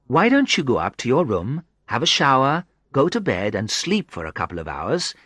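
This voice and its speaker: A man's voice: mid-range voice, wildly swooping pitch, moderate pace, fairly bright tone, no echo, pitched above the performer's own voice. A man voicing a confident, friendly, teasing woman from Brazil in an audiobook story, with playful and emphatic delivery. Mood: warm, bright. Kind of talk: audiobook character dialogue.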